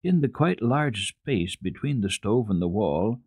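A man giving an expressive, dramatic reading of classic children's literature as a radio storyteller, with deliberate phrasing. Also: lowish voice, husky, dry recording, studio quality.

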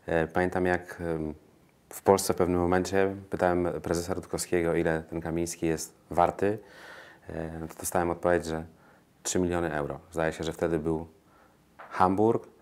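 A man with a low-pitched voice interviews a guest indoors. He speaks as a sports journalist, setting up topics and putting questions. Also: flat delivery, normal speed, a little mellow.

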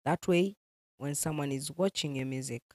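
Kenyan woman, native swahili speaker, animated interview guest, dry close sound. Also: sits low, moderate pace, dry recording. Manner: animated, mixing in Swahili phrases. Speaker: gospel musician guest. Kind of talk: casual interview.

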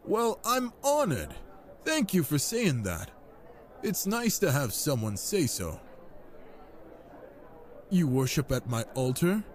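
Man acting flirtatious wine god, genial, smooth-talking, expressive swooping delivery, intimate audio drama. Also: on the high side, slow, long pauses, full character voice. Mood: flirtatious, tender.

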